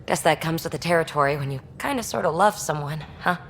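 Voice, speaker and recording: An actress playing a breezy, affectionate young adventurer with informal delivery in a video game. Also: female voice, deep voice, expressive, fast, fairly bright tone, slight background noise. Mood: affectionate, excited.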